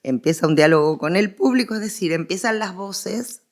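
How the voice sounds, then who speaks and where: A woman's voice: medium pitch, lively intonation, moderate pace. An Argentine woman speaking as a guest expert in a conversational interview, explaining history with emphatic, repeated phrasing.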